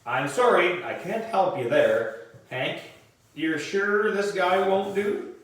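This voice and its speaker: A man's voice: high-pitched, animated, slow, pitched above the performer's own voice. A man voicing a friendly, obliging pet shop seller in a children's storybook. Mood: apologetic. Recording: distant mic in echoey room.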